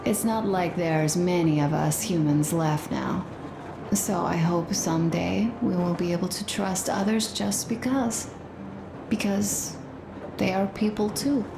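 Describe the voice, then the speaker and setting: Pensive young wife in a post-apocalyptic game. Slow, tender delivery, roomy sound over audible noise. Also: female voice, fairly low pitch, steady tone. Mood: tender, reflective. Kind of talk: dramatic game dialogue. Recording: echoey room with clear background noise.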